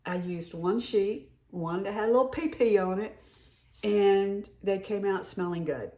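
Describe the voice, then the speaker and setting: A middle-aged woman, hoarse from a head cold, chatting casually and rambling with many restarts while showing off a shopping haul. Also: mid-range voice, a little slow, warm tone. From North Carolina. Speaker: haul vlogger. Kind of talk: casual show-and-tell chat.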